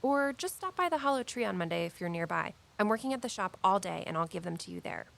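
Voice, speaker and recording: A woman's voice: mid-range voice, lively intonation, fast, creaky, a bit bright. A woman playing a breezy, mystical young shop worker and daughter, talking casually in an audio drama.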